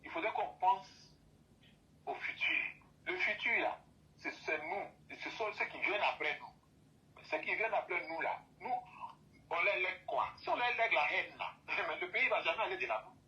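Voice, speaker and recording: A man's voice: sits high, lively intonation, normal speed, pushed and bright, dull audio. A man from Côte d'Ivoire, speaking as a guest in an impassioned talk and repeating and restating his phrases for emphasis.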